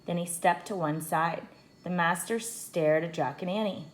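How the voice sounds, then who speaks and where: A woman reading a children's book aloud like a teacher, in expressive scripted storybook narration. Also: fairly low pitch, moderate pace.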